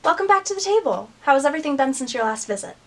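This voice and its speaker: A woman's voice: high voice, sing-song, racing, slight background noise. A woman playing a mysterious, unflappable guardian of a magical table in a screen drama, speaking with composed, cryptic authority. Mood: formal, reassuring. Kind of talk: measured screen drama acting.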